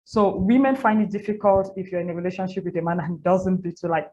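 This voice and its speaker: A woman in her thirties with a Cameroonian accent speaks in a fairly low register. She tells her own story candidly, circling back on phrases to stress them. The sound is slightly dull, with noticeable noise behind her. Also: fairly even intonation, fast talker, dark tone. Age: 30s. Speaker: interview guest, NGO founder and women's activist. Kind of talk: personal storytelling in an interview. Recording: muffled lo-fi audio with audible background noise.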